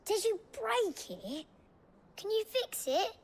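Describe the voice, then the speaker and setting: An actor playing an innocent, excitable young child with a small voice in a fantasy video game. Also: very high-pitched, slow, creaky, noisy background, exaggerated voice. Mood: curious. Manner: innocent, defensive, simple ungrammatical speech. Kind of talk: cinematic video game acting.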